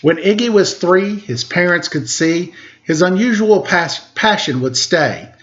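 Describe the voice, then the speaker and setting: Guy reading a kids book out loud, kinda high voice, sing-songy, bit muffled lo-fi sound. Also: a little slow. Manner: expressive, sing-song reading of rhymed verse. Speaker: storyteller reading a picture book to children. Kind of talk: read-aloud with chatty asides. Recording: dull lo-fi sound.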